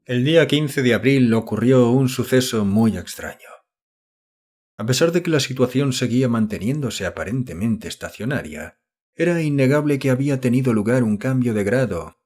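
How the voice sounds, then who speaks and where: A man's voice: mid-range voice, lively intonation, moderate pace, a little mellow. Man narrating a solemn horror audiobook.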